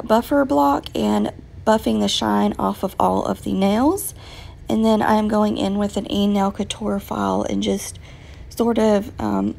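A woman with a raspy voice from a recent illness, patiently explaining her nail work step by step with frequent ums. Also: mid-range voice, a little mellow, slight background noise. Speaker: nail tutorial vlogger. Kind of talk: casual step-by-step nail tutorial voice-over.

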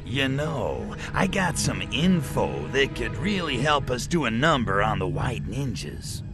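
Cocky american alien dude from a video game, cynical wisecracks, really animated voice, music behind. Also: male voice, medium pitch, measured pace. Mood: scheming, exasperated. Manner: sardonic, slangy American wise guy. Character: brash, wisecracking, cynical male alien invader. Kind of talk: video game cutscene acting.

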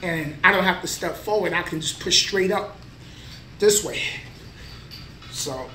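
A man's voice: high voice, measured pace, sharp tone, distant mic, a little hiss or hum. A man in his thirties giving a casual, motivational workout vlog between sets, filmed on a camera mic a few feet away in a small indoor gym. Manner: motivational, plain-spoken, talks between sets. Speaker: vlogger, trucker. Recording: echoey room, voice a bit off mic.

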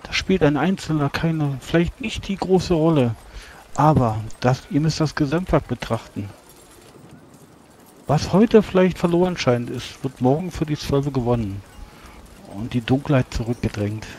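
A man's voice: fairly high pitch, a little mellow, a little hiss or hum, close to an everyday voice. A man playing a solemn preaching fantasy priest. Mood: earnest, exhorting. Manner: formal archaic speech, preachy, deliberate. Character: solemn, measured male priest counselling an impulsive comrade. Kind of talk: tabletop roleplay in character.

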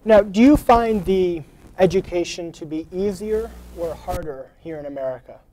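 A man's voice: sits high, normal speed, dark tone, rough recording. A young man hosting a student talk show in a small college TV studio, asking friendly, prepared questions with some "um"s. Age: young adult. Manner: friendly, scripted questions with fillers. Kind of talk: studio interview. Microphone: lapel mic.

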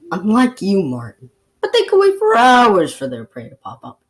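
A woman voicing a knowledgeable, steady young male wildlife adventurer in an amateur cartoon fan dub, explaining things in a measured way. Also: medium pitch. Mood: focused. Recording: music behind the voice.

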